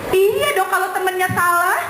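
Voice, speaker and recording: A woman with a high-pitched voice works as a live storyteller for children. She is playful and exaggerated, often turning to her listeners with coaxing questions. The sound is roomy and echoing, with noise around her. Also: expressive, moderate pace. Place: echoey public venue at a live event. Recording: echoey venue, clear background noise.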